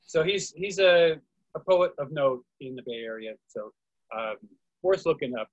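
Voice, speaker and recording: A man's voice: a little high, lively intonation, measured pace, no echo, lo-fi sound. A man moderating a casual group discussion over a video call, speaking as the host. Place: indoors, online video call. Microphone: video call microphone.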